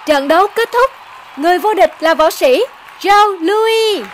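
A woman voicing an excited cartoon boxing announcer with loud, drawn-out, rousing calls. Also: very high-pitched, some chatter behind, a little hiss or hum.

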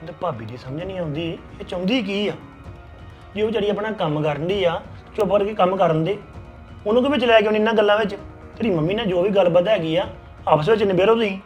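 A man's voice: sits high, quick pace, soft background music, lightly acted. A man playing a protective, reassuring husband. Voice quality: reassuring, firm. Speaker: husband calming his wife. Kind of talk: family drama acting.

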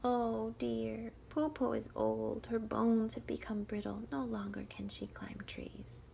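A woman voicing a sly old male wolf disguised as a grandmother, speaking slowly and softly in a wheedling way. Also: fairly high pitch, flat delivery, creaky, slight background noise, pitched above the performer's own voice, full character voice. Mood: self-pitying. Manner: wheedling, slow and soft.

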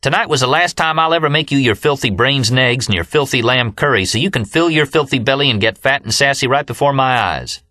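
A man voicing an angry, scornful young woman who yells as she scolds her boyfriend in an audiobook. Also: medium pitch, a little fast, few pauses, dry recording, studio quality, pitched above the performer's own voice, lightly acted. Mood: furious. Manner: yelling, scornful.

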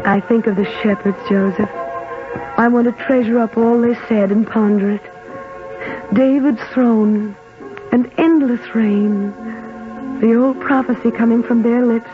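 An actress playing a gentle, devout young mother, earnest and tender in 1940s radio drama style. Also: on the high side, steady tone, measured pace, lightly acted. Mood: tender awe. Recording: tinny 1940s radio with music.

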